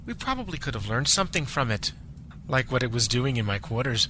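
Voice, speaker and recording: A woman's voice: rumbling low, big pitch swings, fairly quick, fairly bright tone, slight background noise, full character voice. An actress playing a wary female Starfleet security chief, guarded and polite. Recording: music score behind.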